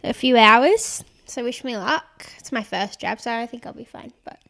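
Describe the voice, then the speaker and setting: A woman's voice: a little high, expressive, moderate pace, gravelly, a bit bright. A woman in her twenties with a young, girlish voice, giggly and casual, co-hosting a podcast on a close microphone in a small room. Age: 20s. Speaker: podcast co-host. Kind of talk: casual banter between friends. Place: small indoor room, seated on armchairs. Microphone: podcast microphone on a boom arm.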